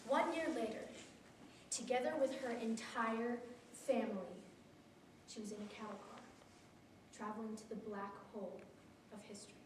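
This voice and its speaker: A teenage girl giving a memorized competition speech with a solemn, deliberate delivery that drops to hushed tones. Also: on the high side, background noise. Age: teens. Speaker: student speaker. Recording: roomy echo from a distant camera.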